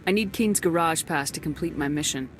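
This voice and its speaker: A woman's voice: low voice, a little fast, a little hiss or hum, natural voice. A woman playing a tough military commander in a video game, speaking firmly and directly with blunt questions. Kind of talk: video game dialogue acting.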